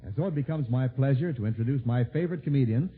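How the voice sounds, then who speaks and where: A man's voice: medium pitch, fast talker, breathy edge, phone-quality sound. A man announcing from a script in the style of 1940s American network radio. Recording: thin, band-limited 1940s radio broadcast.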